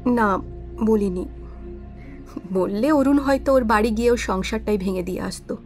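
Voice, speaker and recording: A woman's voice: medium pitch, normal speed, darkish tone, soft background music, close to an everyday voice. A woman playing an anxious, guilt-ridden witness in an audio drama, pleading and defensive with feeling. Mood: subdued.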